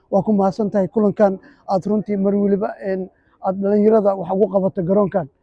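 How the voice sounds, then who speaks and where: Fast-talking man at a football pitch. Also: warm tone, no room sound, lo-fi sound. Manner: hesitant, repeats filler "in", fast. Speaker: sports reporter. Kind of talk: live pitchside commentary.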